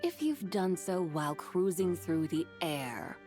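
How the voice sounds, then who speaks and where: A woman playing a serene, mystical adept in a video game, speaking in formal, old-fashioned, measured phrases. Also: fairly low pitch, sing-song, dark tone. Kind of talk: video game character acting. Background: game music.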